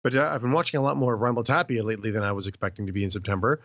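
A hoarse man co-hosting a podcast in casual chat, often clearing his throat and speaking in loose, filler-filled runs. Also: on the low side, fairly even intonation, racing, fairly bright tone, no echo, muffled recording. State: hoarse after illness. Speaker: podcast co-host.

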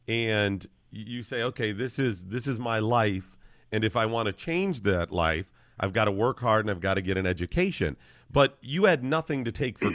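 A man's voice: fairly low pitch, no echo, studio quality. A man hosting a talk radio show, effusive in his praise and often repeating words as he starts his sentences.

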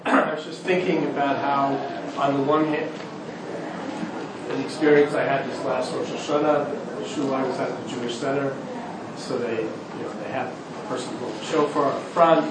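Relaxed man, class student, very echoey, distant, noisy. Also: mid-range voice. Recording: distant mic in a very echoey room, noise.

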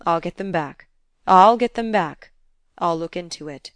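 A woman's voice: fairly low pitch, measured pace, no room sound, natural voice. A woman reading aloud and voicing a genteel, easygoing man who speaks in a kindly, coaxing way and repeats himself. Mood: coaxing, exuberant.

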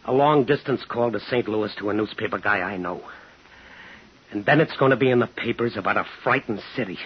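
An actor playing a defiant small-town man who stands up to a crime boss, clipped and deliberate, in 1950s radio thriller style. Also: on the low side, slightly rough. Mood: quietly menacing. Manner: hard-boiled, clipped, deliberate. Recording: muffled 1950s radio broadcast, music behind.

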